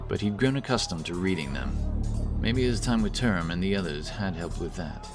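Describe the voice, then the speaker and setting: A man narrating a scripted fantasy audiobook in a measured, dramatic way. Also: low-pitched, lively intonation. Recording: music bed under voice.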